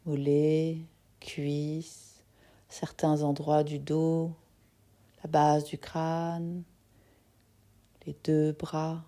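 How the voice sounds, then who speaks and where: Very slow, mellow woman guiding meditation. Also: low-pitched, completely flat delivery. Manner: soothing, soft-spoken with long pauses. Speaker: yoga nidra teacher guiding a relaxation. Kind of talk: guided meditation.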